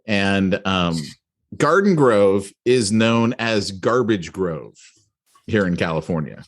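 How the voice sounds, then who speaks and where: A middle-aged man co-hosting a podcast, telling a story in a rambling, animated way with many restarts and asides. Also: on the low side, takes it slowly. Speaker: podcast co-host. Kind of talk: casual storytelling chat.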